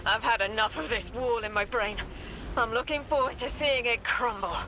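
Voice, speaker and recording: A woman's voice: a little high, moderate pace, background noise, natural voice. A woman voicing a haunted mystic seer in a game, fraught and cryptic, speaking in clipped poetic phrases. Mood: tense, resolute. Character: haunted, intense mystic seer fighting off possession. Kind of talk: scripted game cutscene dialogue.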